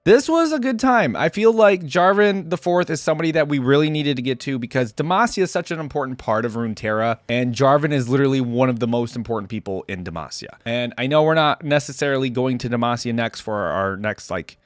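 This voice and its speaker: A man's voice: mid-range voice, animated, a little fast, hardly any pauses, no room sound. A man giving casual, rambling reaction commentary as the host of a video. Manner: chatty, rambling, conversational.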